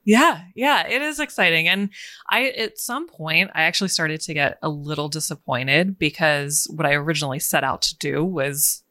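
Bright-voiced woman with a calming tone. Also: sits low, normal speed, dry recording, clean studio sound. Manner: chatty, laughs between thoughts. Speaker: business coach and podcaster, interview guest. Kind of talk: casual interview chat.

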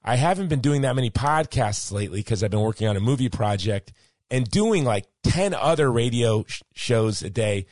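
A man hosting a podcast, rambling through a personal story and sign-off, his voice hoarse and fading. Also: medium pitch, animated, normal speed, darkish tone, dry recording, clean studio sound. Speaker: podcast host. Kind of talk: casual monologue wrapping up a podcast.

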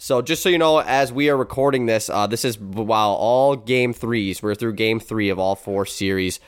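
A young man hosts a sports podcast in a stuffy, congested voice. His tone sits a little low and sounds smooth and clean. He rambles casually with fillers, recorded dry and close. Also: normal speed, dry recording. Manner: upbeat, rambling with fillers and restarts. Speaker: podcast host.

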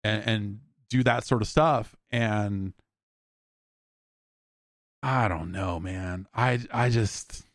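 A man's voice: fairly low pitch, very slow, long pauses, dry recording, studio quality. A man hosting a podcast, rambling through anecdotes in a blunt, informal, sweary way. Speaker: podcast host. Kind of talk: casual rambling solo talk with anecdotes.

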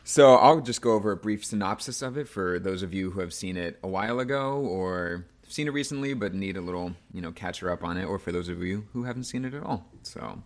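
A man's voice: deep voice, brisk, hardly any pauses. A man hosting a film podcast, talking casually with loose, informal phrasing. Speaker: podcast host.

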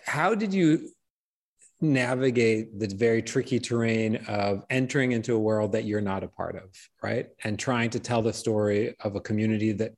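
A man's voice: on the low side, normal speed. A man interviewing a filmmaker, asking long, thoughtful questions full of restarts. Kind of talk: conversational interview.

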